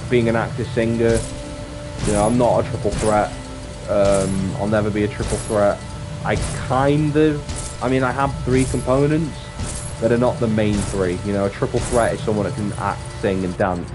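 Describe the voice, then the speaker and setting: A man with a deep voice chatting casually on a livestream, rambling reflectively and often restating his phrases. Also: fairly even intonation, normal speed. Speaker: streamer and actor. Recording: music playing behind the voice. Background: clear background music.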